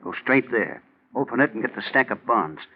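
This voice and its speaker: A man's voice: medium pitch, expressive, fairly quick, natural voice. An actor playing a menacing, bossy crook in a 1940s radio crime drama, curt and threatening in tough-guy slang. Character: menacing, bossy male crook leading a robbery. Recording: muffled, noisy 1940s radio recording.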